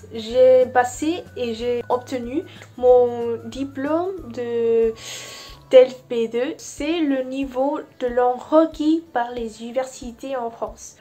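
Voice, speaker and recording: A young woman with a clearly high voice and a Vietnamese accent talks at a slow, deliberate pace. She stops and restarts often while she searches for words. Soft music plays behind her. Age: young adult. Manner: slow, careful, with frequent hesitations. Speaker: vlogger. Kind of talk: casual talk to camera.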